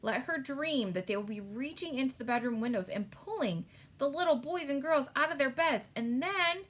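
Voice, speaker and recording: A woman voicing a clever, excited little storybook girl who gives emphatic instructions. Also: high-pitched, moderate pace, voice pushed up. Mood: insistent.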